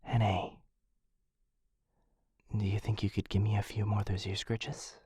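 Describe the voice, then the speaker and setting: A man voicing a shy, affectionate dog-person boyfriend in an ASMR roleplay, speaking softly and hesitantly, often close to a whisper, right up on the microphone. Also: lowish voice, level tone, measured pace, no room sound. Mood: sleepy, loving. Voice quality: soft, breathy, often dropping into whisper. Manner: hesitant, tender, intimate. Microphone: close microphone in a dry space.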